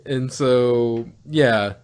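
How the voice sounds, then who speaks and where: Guy on a review podcast, average pitch, animated and argumentative, keeps doubling back on his words. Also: very slow. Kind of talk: casual review chat about an audio drama.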